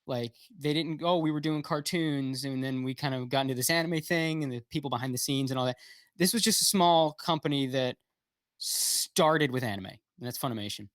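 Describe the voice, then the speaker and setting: A man's voice: medium pitch, moderate pace, a bit bright, dry recording. A man talking as a guest in a casual livestream chat, rambling with lots of fillers and restarts, blunt and swearing freely, heard over a video call. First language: English.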